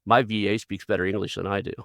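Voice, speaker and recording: A man's voice: on the low side, steady tone, quick pace, creaky, fairly bright tone, dry recording. A middle-aged man from Oklahoma with an Oklahoma accent, hosting a podcast interview in a rambling, folksy way. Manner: rambling, folksy, restarts often. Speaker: podcast host. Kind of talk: conversational interview.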